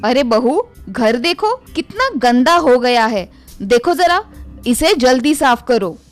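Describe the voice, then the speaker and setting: A high-pitched, scornful middle-aged mother-in-law acted out by a woman from a family story. She speaks in a bossy, sarcastic way, nagging and commanding, with music playing behind her. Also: very animated, takes it slowly, heavily acted. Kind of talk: Hindi moral story dialogue.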